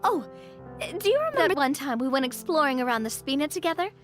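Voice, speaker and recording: A woman's voice: sits high, big pitch swings, fast. A woman playing a sociable young woman and fond childhood friend in a video game, chatty and affectionate. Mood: fond, teasing. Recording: faint music behind the voice.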